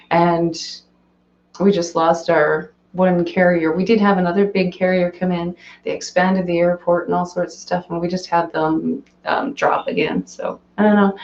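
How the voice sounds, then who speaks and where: Woman, hoarse live stream host, chatty and off the cuff, echoey dull home recording. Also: lowish voice, dark tone. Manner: chatty, rambling, reads and answers viewer comments. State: hoarse, voice cracking. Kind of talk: casual live stream chat.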